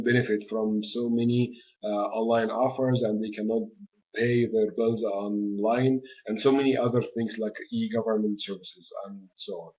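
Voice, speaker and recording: Hesitant young man. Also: medium pitch. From Egypt. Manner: hesitant, many fillers and restarts. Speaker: graduate student, interviewee. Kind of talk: recorded interview answers. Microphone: webcam mic. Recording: dull lo-fi webcam audio.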